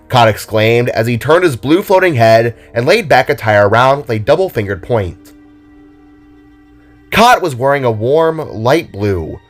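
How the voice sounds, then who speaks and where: A man reading scripted, dramatic narration for an audio drama story. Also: mid-range voice.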